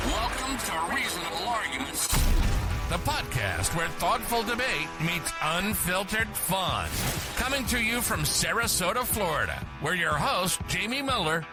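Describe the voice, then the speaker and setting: Male announcer, bright animated promo read over heavy music. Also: high voice. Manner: lively, punchy promo delivery. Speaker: show announcer. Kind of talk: scripted show intro. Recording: loud music under voice.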